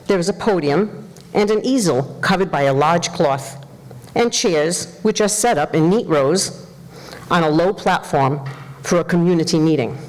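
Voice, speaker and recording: A woman's voice: fairly low pitch, lively intonation, moderate pace. A woman reading scripted stage directions as narrator at a live staged reading. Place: indoor performance hall, live reading. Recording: live hall with long reverb.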